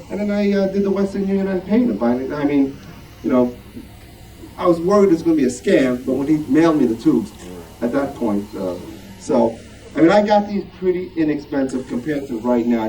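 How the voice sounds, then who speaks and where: A man's voice: on the high side, dark tone. A man speaking informally to a club at show and tell, rambling and self-deprecating in a conversational way. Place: club meeting room. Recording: distant mic, noisy room.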